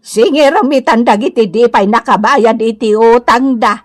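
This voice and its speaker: Actress as a high-pitched, wailing Ilocano woman. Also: natural voice. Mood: complaining. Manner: drawn-out exclamations, wailing and cackling. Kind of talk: Ilocano radio comedy drama acting.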